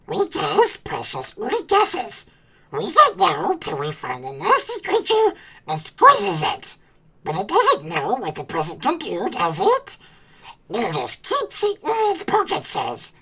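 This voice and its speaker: A woman's voice: fairly low pitch, bright, forceful tone, voice pushed up, exaggerated voice, low-quality recording. A woman voicing a wretched, treacherous male cave creature who hisses, whispers and talks to himself in an audiobook. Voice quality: hissing, breathy whisper. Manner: talks to himself, repeats words, swings between whimper and shriek. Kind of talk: audiobook character voice.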